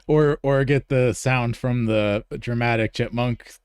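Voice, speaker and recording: A man hosting a casual show with playful, halting banter. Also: medium pitch, steady tone, clean tone, no room sound. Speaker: podcast host.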